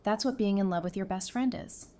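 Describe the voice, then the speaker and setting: A woman playing a playful, sassy, warm-hearted doctor in an audio drama, with an expressive, teasing delivery. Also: mid-range voice, fairly quick, close to an everyday voice. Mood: warm, curious. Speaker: voice actor in a fan audio drama. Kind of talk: conversational audio drama acting.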